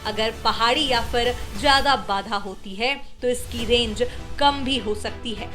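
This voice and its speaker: A woman's voice: a little high, unhurried, sharp tone. A woman narrating a news report as a scripted voice-over, reading out facts in short formal sentences. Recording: clear music bed under the voice. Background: background music.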